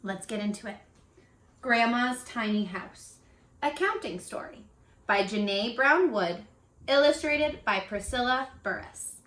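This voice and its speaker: A woman teacher giving a read-aloud lesson for young children, expressive and encouraging, asking questions as she goes. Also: a little high, a little slow. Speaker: elementary school teacher. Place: living room at home. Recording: roomy, echoey home video.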